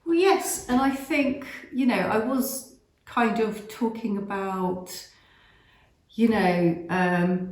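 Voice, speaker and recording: Chatty English woman in echoey room. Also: lowish voice. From Britain. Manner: chatty, rambling with many fillers. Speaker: host. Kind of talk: casual chat. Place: at home, outdoors in summer.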